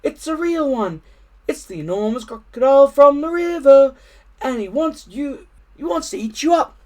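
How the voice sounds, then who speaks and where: A man's voice: animated, normal speed. A man voicing a small, alarmed storybook bird, calling out warnings in urgent, singing cries. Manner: high, urgent, sing-out calls.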